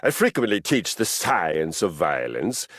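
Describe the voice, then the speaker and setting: A man playing a brash, battle-loving Viking warrior in a video game, boastful and theatrical, with gravelly voice and pompous archaic phrasing. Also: low voice, big pitch swings, measured pace, a bit bright, dry recording, clean studio sound, heavily acted. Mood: eager for battle. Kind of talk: video game character voice acting.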